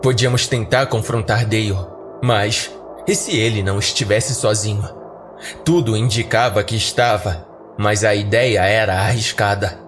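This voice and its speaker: A man's voice: lowish voice, steady tone, normal speed. A man narrating a scripted first-person horror story for a podcast. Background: faint music.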